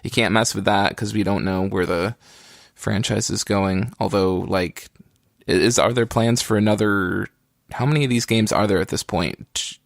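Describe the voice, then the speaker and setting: Male podcast co-host, lowish pitch, dry recording, casual and hesitant, frequently restarting. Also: steady tone, moderate pace, gravelly, no echo. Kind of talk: casual chat.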